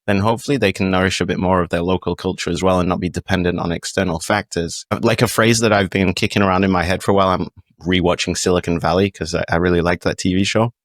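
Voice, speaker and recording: A man's voice: sits low, steady tone, fast, dry recording, clean studio sound. A man with a British accent, speaking as a podcast guest in a casual, reflective, explanatory way with long meandering sentences. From United Kingdom. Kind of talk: casual interview talk, explanatory.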